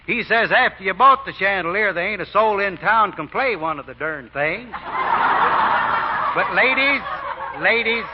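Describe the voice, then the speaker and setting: A man playing a sly, folksy fake deacon in 1940s radio acting, drawling homespun sayings. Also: high-pitched. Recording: dull lo-fi old radio broadcast.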